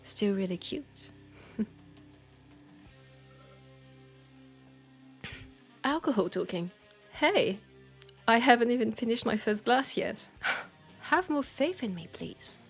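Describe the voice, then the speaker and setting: A woman with a French accent acting a nostalgic, playful old school friend in an intimate, casual audio roleplay, with an unhurried, teasing manner. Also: on the high side, lively intonation, soft background music. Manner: unhurried, teasing, breaking into soft laughs.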